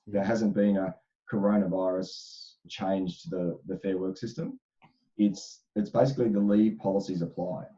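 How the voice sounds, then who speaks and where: This is a man speaking as an HR expert on a panel. His voice is very deep and dark, with a slight crackle from a sore throat. The audio sounds dull and lo-fi, and he answers thoughtfully, restarting phrases often. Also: steady tone, normal speed. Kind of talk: casual panel discussion.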